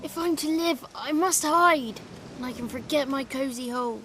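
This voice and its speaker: A woman's voice: sits high, moderate pace, a bit bright, a little hiss or hum. A woman playing a cheeky, childlike male swamp creature in a video game, chatty and playful. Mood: resigned, chatty. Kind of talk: video game character acting.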